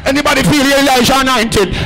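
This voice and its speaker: A man preaches at full volume, his voice very high and gravelly as he hammers out repeated calls. Music plays clearly behind him. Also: rapid-fire, poor audio. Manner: shouting, chanting repeated calls. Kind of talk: shouted call-and-response sermon.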